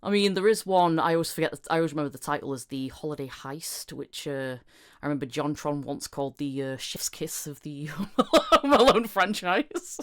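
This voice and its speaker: A woman's voice: low voice, lively intonation, normal speed. A woman hosting a podcast interview in a casual way, often laughing and restarting her questions as she goes. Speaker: podcast host.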